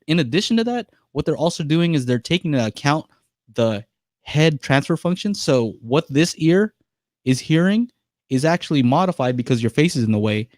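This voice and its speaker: A man's voice: medium pitch, a little mellow, dry recording, clean studio sound. A man hosting a live call-in podcast, chatting casually and laughing easily with callers. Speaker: podcast host.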